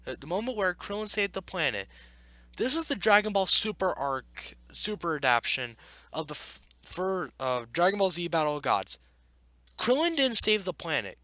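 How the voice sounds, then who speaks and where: A man's voice: sits high, bright, forceful tone, no room sound. A man giving casual reaction commentary as he reads, in an exasperated, argumentative way. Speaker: YouTube commentator.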